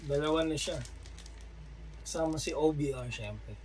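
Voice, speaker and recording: Man, Filipino accent, casual unboxing vlog, slow easygoing delivery, faint background hum. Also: on the high side. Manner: relaxed, slow, chatty with frequent fillers. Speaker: vlogger.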